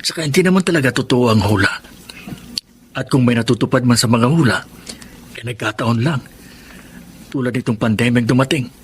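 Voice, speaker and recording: Actor as earnest middle-aged husband, medium pitch. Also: male voice, slight background noise, natural voice. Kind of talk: Tagalog radio drama acting.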